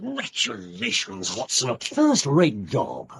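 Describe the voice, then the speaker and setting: A man with an English accent acting a brisk, confident, scheming detective in a radio drama, assured and clipped. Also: on the high side, wildly swooping pitch, creaky, a bit bright, breathy edge, exaggerated voice. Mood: satisfied, dry.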